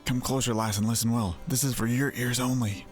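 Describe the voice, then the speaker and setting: Man acting as earnest male knight, confiding, grave, urgent, average pitch, fantasy game, quiet music behind. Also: fairly even intonation, brisk, natural voice. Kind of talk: voiced reading of fantasy game dialogue.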